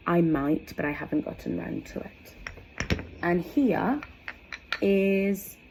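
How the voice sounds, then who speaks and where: A woman's voice: lowish voice, takes it slowly. A woman presenting in an informal instructional vlog, explaining conversationally with asides as she demonstrates, with faint background noise. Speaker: educator presenting storytelling props.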